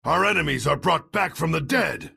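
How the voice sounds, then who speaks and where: An actor playing a grim older soldier in a video game, terse and resolute, with a gravelly, husky voice. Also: male voice, on the high side, animated, moderate pace, bright, forceful tone, faint music behind, heavily acted. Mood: urgent, rallying. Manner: terse, world-weary, resolute. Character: grim, weary older male soldier, dutiful. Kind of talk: scripted video game voice lines.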